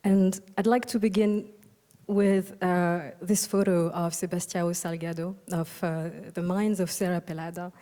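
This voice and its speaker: Soft-spoken woman in an echoing hall. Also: fairly low pitch, fairly even intonation, a little slow. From Algeria. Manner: thoughtful, frequent ums and uhs, soft-spoken. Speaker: architect giving a keynote. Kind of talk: conference keynote talk. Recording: long reverb of a large hall.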